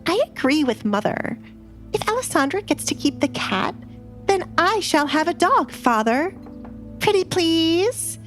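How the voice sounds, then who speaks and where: A woman's voice: very high voice, sing-song, unhurried, faint music behind, pitched above the performer's own voice, full character voice. A woman voicing a whiny, pleading, spoiled young noble daughter in an audiobook drama.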